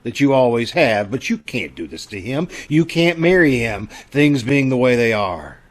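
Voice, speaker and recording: Man playing an earnest, lively-pitched radio-drama doctor. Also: normal speed, close to an everyday voice. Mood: pleading, firm. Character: concerned, disapproving male family doctor, earnest and pleading. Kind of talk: old-time radio drama acting.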